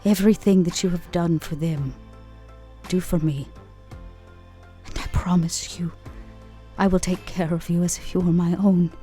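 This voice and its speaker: Woman as a low-voiced, measured vampire lady over music. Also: steady tone, warm tone, close to an everyday voice. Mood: tender, promising. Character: ageless, commanding vampire woman, tender and businesslike.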